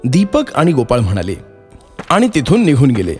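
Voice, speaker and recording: A man's voice: medium pitch, huge pitch swings, moderate pace, darkish tone, faint music behind. A man narrating a scripted moral story for children.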